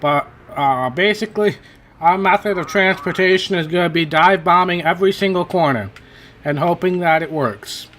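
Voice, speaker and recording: Guy narrating his racing game run, kinda high voice, rambly, voice a bit worn out. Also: moderate pace, a bit bright, slight background noise. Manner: rambling, self-correcting, chatty. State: voice worn from hours of talking. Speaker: gaming channel host. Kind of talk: casual racing gameplay commentary.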